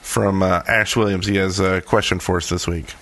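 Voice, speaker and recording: A man speaks in a low voice as a podcast co-host. His delivery is casual and conversational. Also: fairly even intonation, moderate pace. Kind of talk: casual chat.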